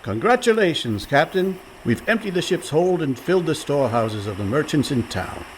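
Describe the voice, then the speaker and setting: A man's voice: a little high, big pitch swings, moderate pace, slight background noise, poor audio. An actor playing a cheerful, scheming and overconfident con man in a comic radio play, breezy and persuasive. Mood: upbeat.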